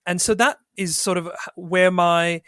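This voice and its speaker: A middle-aged man from Sri Lanka, a barrister guest telling his career story in a measured, wry way. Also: high voice, steady tone, a bit bright, clean tone, no echo, studio quality. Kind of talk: podcast interview, reflective storytelling.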